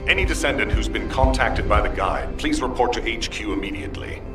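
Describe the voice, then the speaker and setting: An actor playing a stern, wary middle-aged commander in a video game, measured and authoritative. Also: male voice, on the low side, expressive, music in the background, natural voice. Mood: skeptical. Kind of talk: scripted video game acting.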